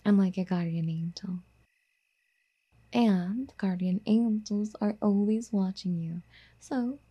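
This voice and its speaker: A woman playing a doting, possessive rich girlfriend in an ASMR roleplay, soft-spoken and coaxing, often slipping into whispers. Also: medium pitch, husky, dull audio. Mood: doting, creepy.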